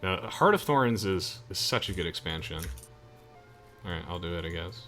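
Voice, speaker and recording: A young man with a fairly low, bright and forceful voice gives casual commentary as he hosts a gaming video. He talks conversationally with friends over faint game music. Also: lively intonation, moderate pace. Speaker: host of a let's play video.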